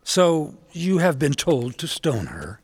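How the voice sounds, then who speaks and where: An actor playing a wise, gentle old rabbi with a measured, wry way of questioning in a biblical audio drama. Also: male voice, on the high side, wildly swooping pitch, slightly rough, no room sound, full character voice.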